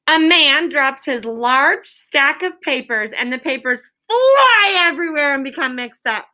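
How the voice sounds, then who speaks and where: An American woman teaching an elementary class, giving clear instructions and spelling things out for the children. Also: high-pitched, animated, sharp tone. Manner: clear instructing, exaggerated drawn-out modeling. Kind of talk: interactive classroom lesson. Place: school classroom with pupils.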